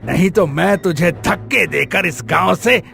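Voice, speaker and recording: A man's voice: high-pitched, measured pace, creaky, bright, forceful tone, heavily acted. A man playing a cruel, domineering village moneylender in a cartoon, with a menacing, commanding manner. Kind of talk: Hindi cartoon voice acting. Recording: light music bed behind.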